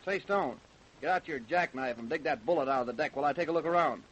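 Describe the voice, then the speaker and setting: An actor playing a keen, methodical and persistent investigator in an old-time radio crime drama, speaking in a matter-of-fact colloquial way. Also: male voice, fairly high pitch, quick pace, a bit bright, lightly acted, poor audio. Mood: investigative. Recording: hissy old radio recording.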